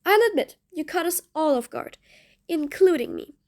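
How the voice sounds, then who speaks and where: A woman's voice: high-pitched, animated, moderate pace, darkish tone. A woman playing a mean but patient supervillain in an audio roleplay, bossy and sardonic, with threats that carry grudging fondness. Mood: wry, grudging. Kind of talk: scripted audio roleplay addressed to the listener.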